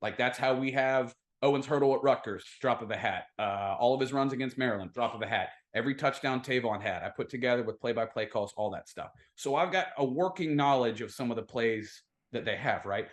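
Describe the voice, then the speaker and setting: Mid-pitched American man telling sports anecdotes. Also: fairly quick, hardly any pauses. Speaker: podcast guest, team insider. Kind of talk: casual sports chat and anecdotes.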